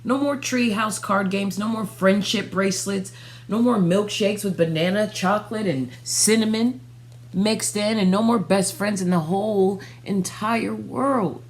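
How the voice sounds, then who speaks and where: A woman in her thirties reading a picture book aloud for children, with expressive, deliberate phrasing. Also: mid-range voice. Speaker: storytime reader. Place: small furnished room at home, indoors. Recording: faint background noise and hum.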